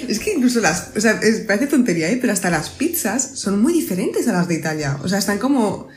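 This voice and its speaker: A woman from Spain with a Spanish accent, a podcast guest who talks in a lively, expressive way and laughs easily. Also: mid-range voice, quick pace, roomy sound, soft background music. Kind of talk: casual interview chat.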